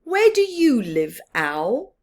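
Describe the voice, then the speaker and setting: Woman as a storybook fox, high pitch, eager, polite, timid, animated delivery. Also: slow pace, full character voice. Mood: polite, curious. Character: noisy city fox, eager, polite, easily scared.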